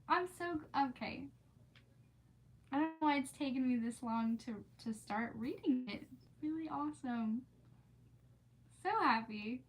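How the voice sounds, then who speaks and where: A woman streamer who reads storybooks aloud and chats casually with viewers in an informal, gushing, rambling way. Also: a little high. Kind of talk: storybook read-aloud and casual livestream chat. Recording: clearly noisy background.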